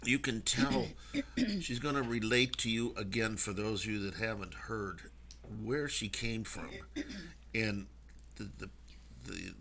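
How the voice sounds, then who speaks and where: A man hosting a talk radio show, speaking conversationally in a halting, earnest way. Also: lowish voice, lively intonation, sharp tone.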